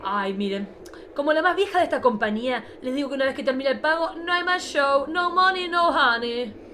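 A man's voice: very high voice, lively intonation, fairly quick, pushed and bright, heavily acted. A man playing a bossy, catty old-guard showgirl diva in a campy comic radio play. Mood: bossy, showy. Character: bossy, bickering oldest cabaret showgirl diva, a woman. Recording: clearly noisy background.

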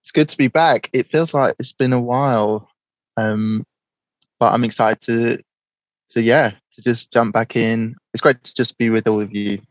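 A man's voice: mid-range voice, fairly even intonation, normal speed, no echo. A man chatting casually as a panelist on slightly dull audio. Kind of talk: casual chat.

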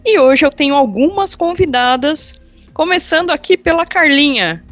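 A woman's voice: sits high, normal speed. Woman host, lo-fi podcast sound. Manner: relaxed, jokey, swears freely. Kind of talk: casual podcast chat. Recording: dull lo-fi sound with music behind.

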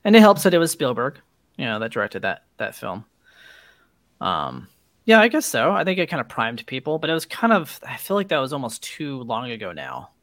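A man chats as a podcast co-host at a quick pace with lively, swinging pitch. The sound is dry, and his manner is agreeable and conversational, full of small asides. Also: no echo. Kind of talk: casual chat.

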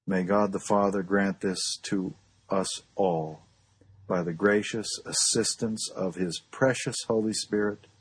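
A man reading a formal sermon aloud in a solemn, measured and earnest oratorical manner. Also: low-pitched, breathy edge, low-quality recording. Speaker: pastor reading a historic sermon.

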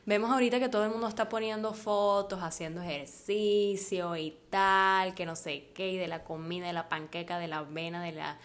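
A woman's voice: lowish voice, fairly even intonation, moderate pace, hardly any pauses. A young woman with a Maracaibo Venezuelan accent, co-hosting a casual chat and laughing easily, with lots of "o sea". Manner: chatty, laughs easily, uses fillers like "o sea". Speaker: podcast co-host.

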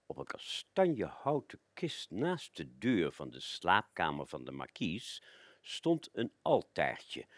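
An actor playing a male chauffeur recounting his master's tale in a radio play, with measured, expressive phrasing. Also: low voice, no room sound, exaggerated voice. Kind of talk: dramatic storytelling radio play.

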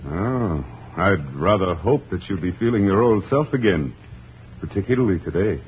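Hissy old radio play: low-voiced physician, courteous, coaxing, very expressive intonation. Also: male voice. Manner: polite, measured, theatrical. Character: courteous male physician, admiring, gently persuasive. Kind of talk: 1940s radio drama acting. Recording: dull, noisy old radio recording.

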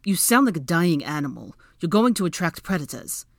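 A woman's voice: lowish voice, big pitch swings, a little fast, gravelly, dry recording, clean studio sound, full character voice. A woman voicing a haughty, irritable young wizard man in an audiobook, snapping and grumbling with a sneer. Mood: irritated, snapping. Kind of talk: dramatic audiobook character dialogue.

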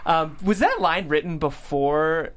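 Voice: mid-range voice, measured pace. An enthusiastic, chatty host conducting a live vodcast interview.

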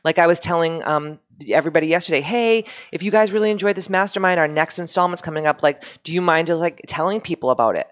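A woman's voice: on the low side, fast talker, few pauses. A woman hosting a podcast in casual chat, chatty and blunt, swearing freely. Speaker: podcast host and mastermind leader.

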